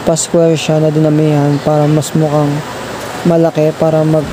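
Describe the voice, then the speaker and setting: A woman, a model contestant, describing her outfit in a casual, halting way. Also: sits low, completely flat delivery. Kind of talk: casual voice-over about an outfit. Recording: clear background hiss.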